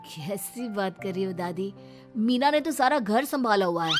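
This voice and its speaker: A woman's voice: medium pitch, animated, normal speed, lightly acted. A woman voicing a hot-headed, easily swayed young husband in a moral story cartoon, domineering and scolding. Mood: pleading. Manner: loud, scolding. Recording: background music.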